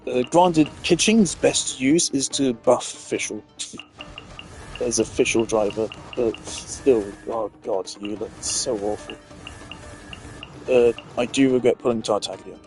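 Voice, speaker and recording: Guy ranting casually about his game picks, mid-pitched, lots of uhs, faint music behind. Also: takes it slowly, darkish tone. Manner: opinionated, rambling, lots of filler words. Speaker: game streamer. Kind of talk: casual gaming commentary.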